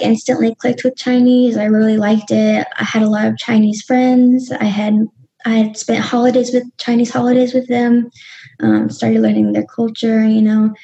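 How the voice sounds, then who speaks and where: A woman's voice: a little high, creaky, dark tone, dull audio. A young woman talking casually as a podcast guest, hesitant and full of ums and restarts. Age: young adult. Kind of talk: casual interview talk.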